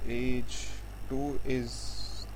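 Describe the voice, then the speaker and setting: A man giving a programming tutorial, explaining step by step in a halting, repetitive way. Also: medium pitch, flat delivery. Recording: faint background noise.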